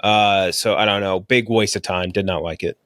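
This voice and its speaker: Casual, low-voiced man reviewing movies. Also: fairly even intonation, normal speed, a bit bright, no echo, clean studio sound. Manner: informal, blunt, swearing. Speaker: podcast host reviewing movies.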